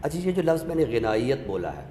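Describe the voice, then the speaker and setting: A man with a Pakistani accent giving a deliberate, didactic explanation in a literary discussion, pausing for emphasis. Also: mid-range voice, sing-song, mellow. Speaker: literary scholar and guest speaker. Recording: echoey reverberant room with background noise.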